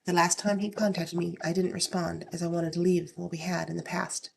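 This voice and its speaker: A woman hosts a casual story-reading session in a lowish, slightly hoarse voice. There is plenty of fry in it. She moves between reading aloud and offhand commentary in an informal way. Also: level tone, fairly quick, slight background noise. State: slightly hoarse, getting over illness. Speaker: host reading online stories aloud.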